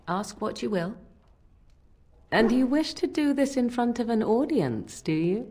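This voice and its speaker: A woman's voice: on the high side, lively intonation, unhurried, dark tone, breathy edge. An actress playing a haughty, sardonic young woman with an arch, disdainful, formal way of talking. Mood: cool disdain.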